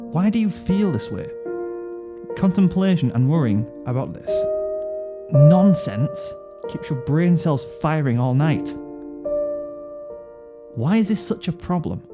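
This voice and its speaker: A man reading a second-person story as a narrator, expressive, with a weary, brooding delivery. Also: on the high side. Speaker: fan fiction narrator. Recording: piano music under the voice. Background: piano music.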